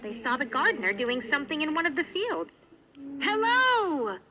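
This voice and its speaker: A woman reading a children's read-along story in an expressive narrating style. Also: high voice, fairly quick, a crowd in the background, poor audio. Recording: music behind the voice.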